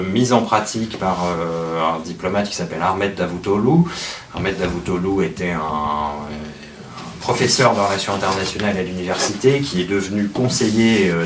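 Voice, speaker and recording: Male speaker, very low voice, hesitant lecturer, distant mic in a noisy, echoey hall. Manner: hesitant, frequent restarts. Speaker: journalist guest speaker. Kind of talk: lecture to an audience. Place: meeting hall. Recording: echoey room from far off, noisy.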